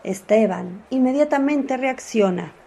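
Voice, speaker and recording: A woman narrating a radio drama in a measured, clear storytelling voice. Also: fairly high pitch, lively intonation, mellow, a little hiss or hum.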